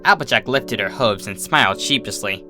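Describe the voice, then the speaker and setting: A man's voice: lowish voice, normal speed, music in the background. A man reading scripted story narration as a fiction narrator.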